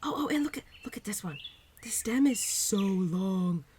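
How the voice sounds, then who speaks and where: A woman's voice: medium pitch, lively intonation, moderate pace, darkish tone, a little hiss or hum, lightly acted. A woman playing a bubbly, affectionate young spirit of Spring in a roleplay audio drama, talking to the listener in a gushing, giggly, teasing way. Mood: cheerful, affectionate.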